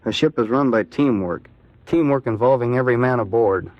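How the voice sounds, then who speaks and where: A man with an American accent answering in a scripted 1940s radio interview as a Navy seaman, in a plain, earnest, measured way. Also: medium pitch, dry recording, natural voice. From Ohio, United States. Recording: dull vintage 1940s radio recording.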